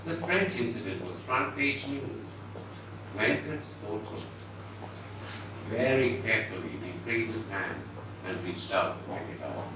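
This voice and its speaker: A man giving a measured, expressive dramatised reading of literary fiction. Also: fairly low pitch. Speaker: reader at a book event. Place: indoor event venue before an audience. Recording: very echoey distant hall, noisy.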